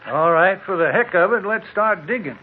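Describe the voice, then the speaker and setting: An actor playing a scoffing desert-town old-timer with a husky voice and folksy, knowing rustic talk in an old radio drama. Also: male voice, high voice, animated, moderate pace, a little hiss or hum. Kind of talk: 1940s radio drama acting. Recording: tinny vintage radio recording.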